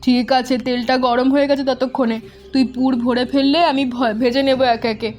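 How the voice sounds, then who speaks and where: A woman plays a village mother in a cartoon story, fond and practical. Her voice sits high, and she talks in a gentle, lightly scolding way, with faint music behind. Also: level tone, brisk, lightly acted. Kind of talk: cartoon voice acting.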